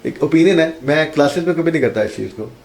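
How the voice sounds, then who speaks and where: A man's voice: a little high, lively intonation, fast talker, a crowd in the background. Earnest Pakistani man teacher. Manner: earnest, explanatory, mixes in English phrases. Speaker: accounting teacher, guest. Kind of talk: podcast interview answers.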